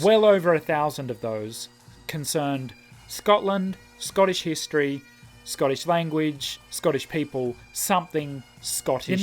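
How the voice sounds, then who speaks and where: A man with a fairly high voice reads lines from a book and stops often to comment on them. He is animated and expressive, swinging quickly between plain reading and surprised reactions, with faint music playing under his voice. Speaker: language vlogger.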